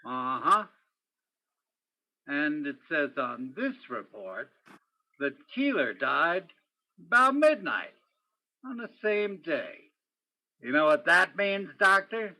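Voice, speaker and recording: A man acts out a brooding, wry police detective from an old radio crime play. His voice is bright and swings widely in pitch. He questions in terse, hard-boiled phrases. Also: big pitch swings, slow pace, long pauses, heavily acted, low-quality recording. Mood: businesslike. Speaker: student or guest stage actor in radio play. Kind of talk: old-time radio crime drama acting.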